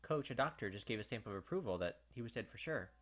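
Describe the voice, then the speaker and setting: A man hosting a gaming video, reading a visual novel aloud with animated, blunt casual commentary. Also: fairly low pitch, fast talker.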